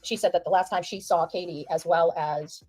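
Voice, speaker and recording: A woman's voice: on the low side, steady tone, fairly quick, a little mellow, no echo, faint music behind. A woman giving informal livestream commentary, emphatic and repeating phrases for stress.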